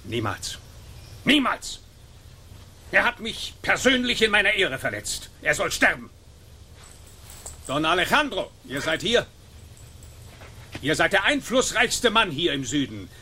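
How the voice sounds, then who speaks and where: Haughty male governor for radio drama: theatrical, offended, slightly high, forceful. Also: big pitch swings, unhurried, long pauses, slight background noise, exaggerated voice. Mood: indignant. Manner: pompous, theatrical. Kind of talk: German radio drama acting.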